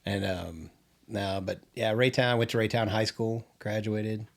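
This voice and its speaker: Gravelly low-voiced older man. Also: fairly even intonation, measured pace. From Kansas City area, Missouri. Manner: laughs often, restarts sentences. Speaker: podcast co-host. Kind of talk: casual chat.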